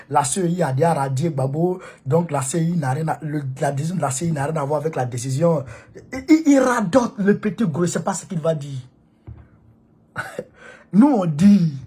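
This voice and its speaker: Husky man. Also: fairly high pitch, darkish tone. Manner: emphatic, repetitive, preachy. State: has a cold. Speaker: political activist addressing supporters. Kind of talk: impassioned political monologue.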